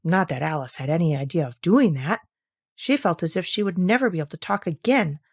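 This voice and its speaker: A woman narrating a classic children's story aloud in an expressive, scripted storytelling style. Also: on the low side, brisk, husky, no echo, clean studio sound. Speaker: audiobook reader.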